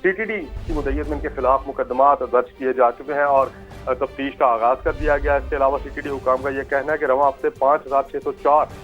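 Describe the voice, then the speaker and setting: A Pakistani man gives a live news report in a mid-pitched voice. He sounds like a correspondent on a slightly muffled link, with music faintly underneath. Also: steady tone, fast talker.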